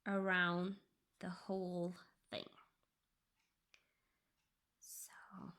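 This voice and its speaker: A Norwegian woman, English her second language, chatting softly about her cross-stitch project and often dropping into a whisper. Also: medium pitch. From Norway. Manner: slow, soft-spoken, drifting into whispers. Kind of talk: casual tutorial chat.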